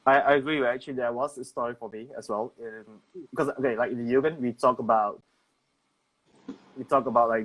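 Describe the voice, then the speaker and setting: A man's voice: medium pitch, a bit bright, poor audio. A man hosting a casual interview, rambling through long questions full of "like". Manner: rambling, many "like" fillers. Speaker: host.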